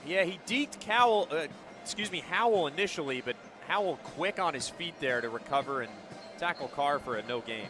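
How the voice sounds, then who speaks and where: American male football analyst, fairly high voice. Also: expressive, fairly quick. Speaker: radio color commentator. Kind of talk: live football analysis between plays. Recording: clear stadium noise behind broadcast commentary. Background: outdoor stadium ambience.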